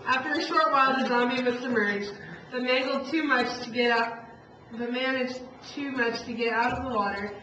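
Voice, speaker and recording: A woman with a slightly high voice narrates a puppet show story from a script, sometimes stumbling and rereading a line. She sounds far from the mic in an echoey room, with clear noise around her. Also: moderate pace, sharp tone. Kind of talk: live storytelling read from a script. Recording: distant echoey room, muffled, noisy.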